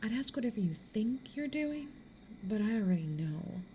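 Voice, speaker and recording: A woman voicing a self-assured, territorial succubus in an ASMR roleplay, speaking in a cool, dry, breathy half-whisper. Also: medium pitch, sing-song, moderate pace, dark tone, slight background noise. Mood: stern, possessive.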